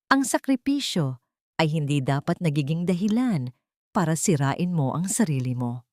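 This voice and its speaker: A woman narrator voicing a wise, protective male driver in an audio story, gentle and reassuring. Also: deep voice, big pitch swings, moderate pace, no room sound, studio quality. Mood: earnest.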